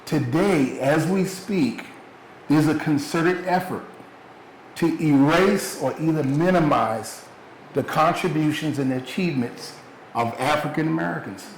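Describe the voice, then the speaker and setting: A man's voice: on the high side, a little slow. A man co-presenting a museum lecture, earnest and repeating phrases for stress. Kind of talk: public lecture with questions. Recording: echoey room, faint background noise.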